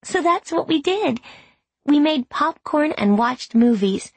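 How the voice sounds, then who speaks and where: A woman voicing an earnest teenage high school girl confiding in her diary in an audiobook, self-mocking and candid. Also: animated, takes it slowly, no room sound, full character voice. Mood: wry, earnest. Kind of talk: audiobook reading of diary entries.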